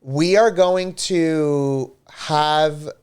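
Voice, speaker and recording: A man's voice: on the high side, steady tone, very slow, a little mellow, clear, resonant tone. A man co-hosting a livestream, chatting casually with lots of fillers and an upbeat manner. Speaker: livestream co-host.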